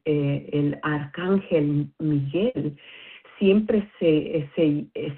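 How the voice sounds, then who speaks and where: A woman's voice: low-pitched, husky. A woman calling in to share a bible study, speaking as a non-native speaker, hesitant and careful with many fillers. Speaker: caller. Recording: tinny phone line.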